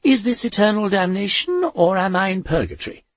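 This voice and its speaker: A man's voice: sits high, animated, brisk, no echo, pitched above the performer's own voice. A man voicing a feisty, foul-mouthed older aunt who grumbles and demands answers in an audiobook. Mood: indignant. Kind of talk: audiobook character voice.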